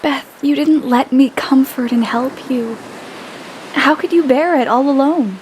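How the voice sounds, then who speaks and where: A woman's voice: sits high, measured pace, a little mellow, slight background noise, poor audio. An actress playing a headstrong, loving young woman in an audio play, earnest and emphatic in her delivery. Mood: hurt, tender.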